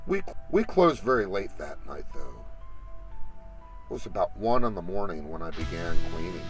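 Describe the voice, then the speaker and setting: Deep-voiced actor as haunted Marine veteran. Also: male voice, sing-song, normal speed, soft background music. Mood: reflective. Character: haunted male Marine veteran recounting a horror tale. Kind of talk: horror audio drama narration.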